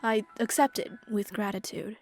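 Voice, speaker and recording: A woman's voice: medium pitch, measured pace. Woman acting a subdued widowed caregiver over music. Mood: wistful. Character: widowed middle-aged mother and live-in caregiver, gentle, resigned. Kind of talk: game dub voice acting.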